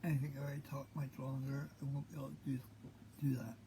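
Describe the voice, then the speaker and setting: Tired, gravelly fifty-year-old man. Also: mid-range voice, flat delivery, heavy background noise. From Maine. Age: 50s. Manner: halting, full of pauses and fillers. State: tired, short of breath. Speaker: host. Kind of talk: unscripted monologue.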